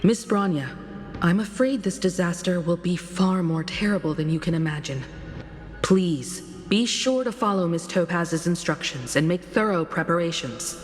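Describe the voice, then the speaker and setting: Game role: actress as composed woman leader, low register, courteous and measured, reverberant sound. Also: faint music behind, natural voice. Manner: measured, formal, solemn. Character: composed, courteous adult woman leading a starship crew. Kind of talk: video game voice acting.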